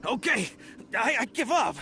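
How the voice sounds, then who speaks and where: A man's voice: extremely high pitch, big pitch swings, normal speed, heavily acted. A man voicing a hapless, boastful apprentice thief in an anime video game, folksy and stammering. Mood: nervous. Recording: game music behind. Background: game music.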